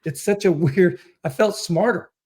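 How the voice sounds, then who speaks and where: A man hosting a casual interview, talking in a halting way and rephrasing in mid-thought. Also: high voice, a little mellow, slightly rough. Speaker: interview host. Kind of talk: casual chat.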